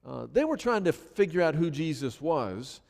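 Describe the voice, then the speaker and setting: A man preaching a sermon in a church, lively and earnest, often restarting his phrases. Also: fairly high pitch, moderate pace, vocal fry. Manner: animated, frequent repetitions and restarts. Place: church sanctuary. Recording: long hall reverb.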